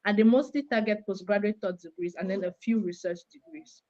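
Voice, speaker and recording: A young woman from Cameroon, a graduate student, explaining things clearly and steadily as an interview guest over a call. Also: mid-range voice, a little fast, mellow, muffled recording.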